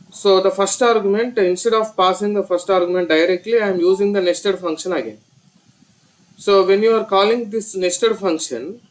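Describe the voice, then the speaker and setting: A man's voice: a little high, low-quality recording. A man with an Indian accent explaining step by step and repeating himself as a programming instructor in a tutorial. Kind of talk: screen-recorded tutorial explanation.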